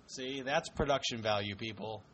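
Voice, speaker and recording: A man's voice: lowish voice, normal speed, fairly bright tone, a little hiss or hum, rough recording. A man hosting a casual podcast chat with friends, joking and steering the talk. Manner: jokey, leads the segments. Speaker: podcast host. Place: home.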